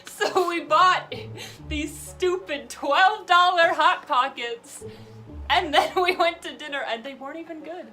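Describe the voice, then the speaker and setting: A young woman vlogger casually telling stories in an animated way, acting out what people said. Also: extremely high pitch, a little slow. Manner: animated, acts out quotes. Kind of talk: casual storytelling. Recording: music behind the voice.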